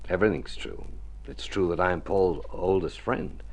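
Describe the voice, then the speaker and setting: A man's voice: low-pitched, moderate pace. An actor playing an earnest male doctor and family friend in a vintage radio drama, urging and familiar in manner. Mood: intense, pleading. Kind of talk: 1950s radio drama acting.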